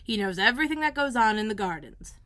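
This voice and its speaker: A woman reading aloud and voicing a gruff old male gardener who speaks bluntly. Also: a little high, expressive, fast, sharp tone, no room sound.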